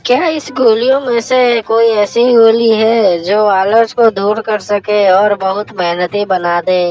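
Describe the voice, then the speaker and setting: A woman's voice: mid-range voice, steady tone, takes it slowly, a little mellow, natural voice. A woman playing a long-suffering, concerned village wife in a cartoon moral story. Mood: curious. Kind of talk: Hindi cartoon storybook acting. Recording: dull lo-fi sound with music.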